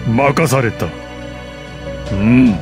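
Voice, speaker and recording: A man playing a calm, deadly old martial arts master and assassin in a video game, with a weathered, measured, wry delivery. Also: medium pitch, music in the background. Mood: battle-ready. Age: older. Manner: measured, wry, explosive battle cries. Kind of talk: video game battle voice lines.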